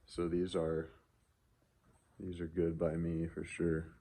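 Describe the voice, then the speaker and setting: A man's voice: sits low, monotone, takes it slowly, mellow. A man talking casually and off the cuff in a laid-back vlog about his vehicle project. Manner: laid-back, offhand, unscripted. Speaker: car vlogger showing truck modifications. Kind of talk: casual handheld vlog chat.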